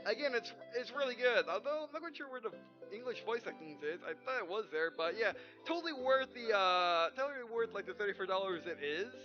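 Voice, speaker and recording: Male let's-play host, high-pitched, loose and rambling, with game music playing underneath. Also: lively intonation, brisk, highs cut off. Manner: rambling, restarts phrases often. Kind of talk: casual game commentary.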